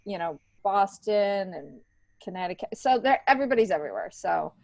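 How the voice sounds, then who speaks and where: A middle-aged American woman chatting casually as an interview guest, talkative and quick to laugh. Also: mid-range voice, expressive, vocal fry, no room sound. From upstate New York, United States. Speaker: podcast guest, stand-up comedian and writer.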